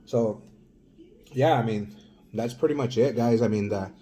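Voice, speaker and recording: A middle-aged Salvadoran man talking casually to his viewers, halting and full of fillers. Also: medium pitch, dark tone, a little hiss or hum. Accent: Mexican-influenced Spanish. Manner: rambling, halting, many fillers. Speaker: vlogger. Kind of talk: casual vlog talk.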